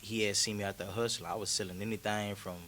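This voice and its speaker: Laid-back young man, low voice. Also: little pitch movement, fast talker, bright, forceful tone, slight background noise. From Memphis, Tennessee. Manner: laid-back, slangy, storytelling with frequent "you feel me". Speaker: rapper guest. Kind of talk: casual interview chat.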